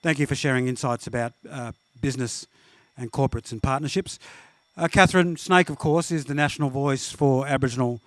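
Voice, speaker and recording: A man's voice: medium pitch, fairly quick, a bit bright, no room sound. Chatty panel moderator, man. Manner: friendly, chatty, thanks and introduces speakers. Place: conference panel at a live event.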